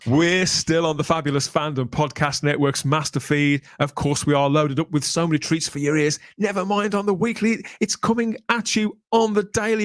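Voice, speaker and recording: A man's voice: a little high, dry recording, studio quality. A man presenting a podcast in a chatty, wordy, colloquial way, like radio patter. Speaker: podcast host.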